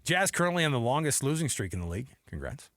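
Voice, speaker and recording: An American man delivers opinionated sports commentary as a radio host. His voice sits at a medium pitch, with an emphatic, conversational delivery. The studio sound is dry and close. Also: big pitch swings, fast, a bit bright, dry recording.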